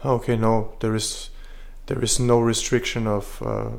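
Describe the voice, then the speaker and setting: Calm, gravelly young man from Serbia. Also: fairly low pitch, unhurried. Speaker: guest. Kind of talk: casual interview chat.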